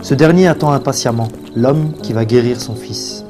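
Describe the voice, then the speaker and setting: A man's voice: medium pitch, normal speed, music in the background. A man narrating a scripted story as its narrator.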